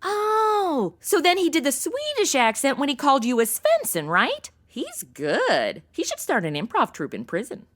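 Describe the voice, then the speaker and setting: Woman playing a high-pitched, gushing teenage girl. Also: huge pitch swings, normal speed, hardly any pauses. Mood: surprised, chatty. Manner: gushing slangy Valley-girl phrasing. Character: bubbly self-absorbed modern teenage girl obsessed with her phone. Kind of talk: comedy audio drama acting.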